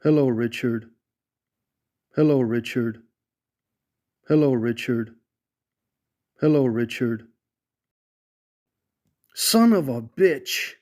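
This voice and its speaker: A man delivering short, isolated catchphrases in a deliberate way, as sound effect clips. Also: medium pitch, animated, darkish tone.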